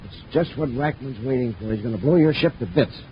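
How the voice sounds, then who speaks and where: A man's voice: mid-range voice, a little fast, lightly acted. An actor playing an anxious, urgent henchman to a space crook in a 1950s radio drama. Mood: worried. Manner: agitated, urgent. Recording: muffled, faintly noisy 1950s radio recording.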